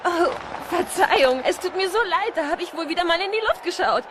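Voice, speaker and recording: An actress voicing a friendly, playful young female rally car in an animated film dub, amiable and lighthearted. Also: very high voice, a little fast. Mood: amused, apologetic. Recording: clear background noise.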